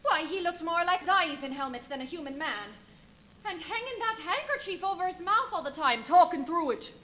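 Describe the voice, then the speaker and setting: Shrill, fussy innkeeper's wife. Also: female voice, lively intonation, normal speed, fairly bright tone. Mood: gossipy, flustered. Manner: gossipy, exclamatory, deferential to guests, scolding the maid. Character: chatty, fussy, bossy English village innkeeper's wife. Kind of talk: live radio play acting.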